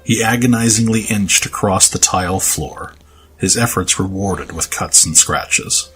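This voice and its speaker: A man reading scripted story narration in a serious, measured, dramatic manner. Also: low-pitched, fairly bright tone, poor audio. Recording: music under the voice.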